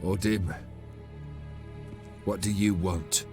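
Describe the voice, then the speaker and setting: A man's voice: low voice, steady tone, very slow, over music. An actor playing a desperate, proud nobleman in a video game, speaking in an agitated, pleading, indignant way. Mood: wary. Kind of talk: video game cutscene dialogue.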